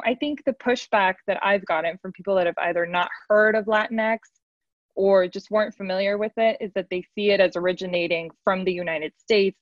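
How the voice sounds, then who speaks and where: A woman speaking as a panel guest, measured and reflective, hedging her points. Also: medium pitch, no room sound. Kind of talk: thoughtful discussion. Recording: dull, dry sound.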